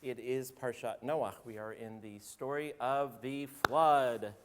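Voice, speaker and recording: A man, a rabbi with a slightly hoarse voice, giving a chatty, joking sermon and calling on congregants by name. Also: medium pitch, steady tone, takes it slowly. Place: synagogue sanctuary. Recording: roomy hall reverb.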